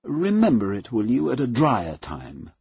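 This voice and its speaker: A reserved young aristocratic lady, as a male narrator puts her on, speaks in a cool, refined and understated way. Her voice is somewhat husky and is heard close and dry, with no room around it. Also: medium pitch, wildly swooping pitch, a little slow, darkish tone, no echo, voice pushed up, full character voice. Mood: cool, detached.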